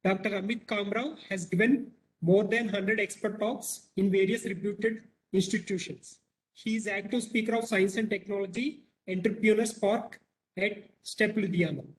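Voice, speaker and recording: A man's voice: high-pitched, low-quality recording. A man hosting a training session, reading out a formal introduction of the guest speaker in a list-like way.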